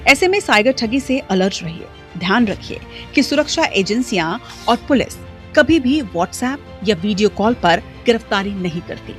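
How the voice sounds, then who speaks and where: A woman's voice: medium pitch, animated, normal speed. A woman reading a scripted news voice-over with punchy, dramatic phrasing. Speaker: news report narrator. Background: faint music.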